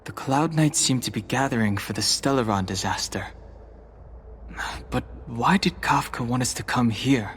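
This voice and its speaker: A man's voice: mid-range voice, moderate pace, slight background noise, lightly acted. A man acting a brooding, restrained young exiled warrior in a fantasy game. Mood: pensive. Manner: grave, terse.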